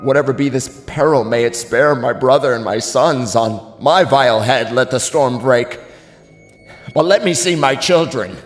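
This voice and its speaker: An actor playing an anguished, ailing father in a classical tragedy, declaiming grave, halting verse in a grandiloquent way. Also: medium pitch, natural voice. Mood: fearful, pleading. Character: anguished ailing father, estranged brother of a tyrant king. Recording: long hall reverb, music underneath.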